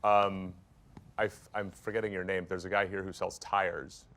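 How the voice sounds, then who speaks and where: A man with a very low, deep voice steers a live roundtable. He asks quick, friendly questions, laughing easily between them. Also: little pitch movement, fairly bright tone. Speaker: panel moderator. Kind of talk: conversational panel moderation.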